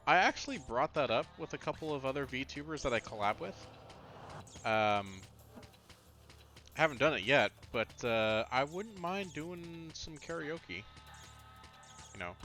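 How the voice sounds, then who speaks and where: A man's voice: mid-range voice, a bit bright. A man giving casual, rambling gameplay commentary as a livestreamer, chatting with viewers and grumbling mildly at the game. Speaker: livestreamer, VTuber. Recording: music behind the voice.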